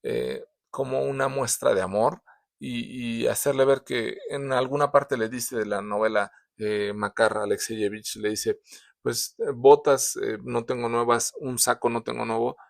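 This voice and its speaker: A man's voice: medium pitch, a little slow, creaky, no echo, studio quality. A man with a sore throat, hosting a casual book review, talking with many fillers and restarts. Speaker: book reviewer, show host.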